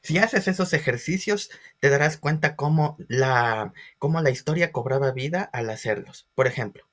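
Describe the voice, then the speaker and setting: A man presenting an informal online class as a teacher, expressive and speaking directly to his listeners. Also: medium pitch, normal speed. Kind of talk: informal educational lesson for video.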